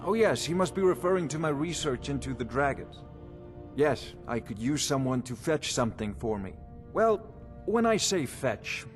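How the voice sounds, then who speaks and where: An actor playing a haughty, bookish court wizard in a fantasy game, precise and condescending. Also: male voice, mid-range voice, long reverb tail, close to an everyday voice.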